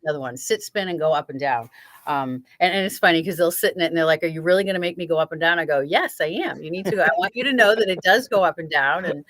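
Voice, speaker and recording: A woman in a sewing shop talks casually and eagerly, often tripping over and repeating her opening words. Her voice is a little lower than most women's. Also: fast talker, a bit bright, no room sound. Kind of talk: casual chat.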